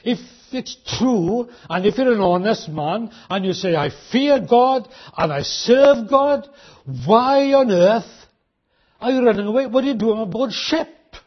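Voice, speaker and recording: A man delivers a sermon in a husky, fairly high voice, pressing his listeners with rhetorical questions. He slows right down on the key phrases to drive them home. The recording sounds dull and lo-fi. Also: lively intonation. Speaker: preacher.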